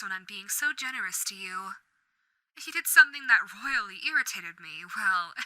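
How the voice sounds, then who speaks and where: A woman playing a bright, silky female Radio Demon. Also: a little high, no echo, exaggerated voice, low-quality recording. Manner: theatrical, silky and mock-polite. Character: female Radio Demon, suave, menacing yet doting. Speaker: voice actor. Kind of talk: audio roleplay acting.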